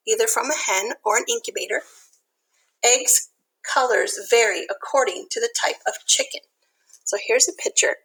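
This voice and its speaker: A woman reading a picture book aloud to young children, expressive, with simple explanatory asides. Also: high voice, rough recording.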